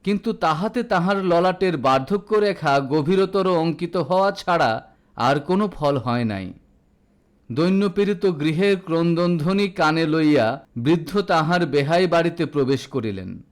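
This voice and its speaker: A man narrates a literary audio story. His voice sits a little high for a man. The reading is formal and dramatic, in the manner of a storyteller. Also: animated, a little slow.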